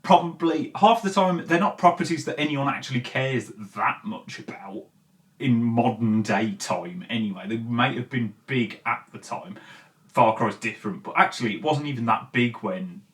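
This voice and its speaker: A man's voice: medium pitch, normal speed. A man hosting a commentary show, talking casually and bluntly in an exasperated, sweary way. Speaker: host of a film commentary show.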